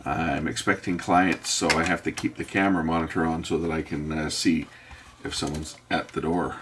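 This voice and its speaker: A man with a low voice works through a repair in his workshop, thinking out loud in a casual way. He often mutters to himself as he goes. A faint noise runs behind his voice. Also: normal speed. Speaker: electronics repairer hosting a repair video. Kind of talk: casual hands-on repair commentary, thinking aloud. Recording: faint steady background noise.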